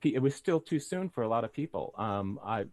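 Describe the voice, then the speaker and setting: A man's voice: mid-range voice, very animated, a little fast, a little mellow, no echo. A man chatting casually as a panelist, in an informal, anecdotal way. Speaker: panelist, thriller author. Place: indoors on a video call.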